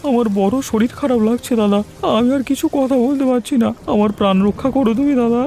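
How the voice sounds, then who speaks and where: A man's voice: extremely high pitch, a little fast, mellow, slight background noise. A man voicing a weak, frightened, half-drowned fisherman in a cartoon, whining and pleading. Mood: shaken, exhausted. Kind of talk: Bengali cartoon folk-tale voice acting. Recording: music and rain behind the voice.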